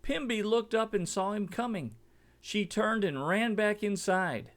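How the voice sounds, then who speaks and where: A man reading a children's story aloud in an expressive, deliberate storytelling manner. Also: high-pitched. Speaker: storybook reader.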